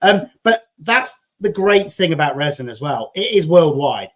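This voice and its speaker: A man's voice: fairly high pitch, big pitch swings, normal speed, a bit bright. Chatty English man hosting. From England. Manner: chatty, enthusiastic, repeats words when starting. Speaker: host. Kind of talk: casual chat.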